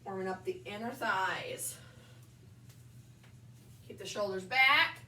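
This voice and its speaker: A woman's voice: mid-range voice, sharp tone, a little hiss or hum. A young woman with a slightly nasal voice from allergies, leading a balance exercise class and calling out moves while she demonstrates. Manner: upbeat instructing cues, brief pauses between moves. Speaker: fitness instructor. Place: large indoor wellness room with wood floor. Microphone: far camera on a tripod. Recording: roomy echo, voice from far off.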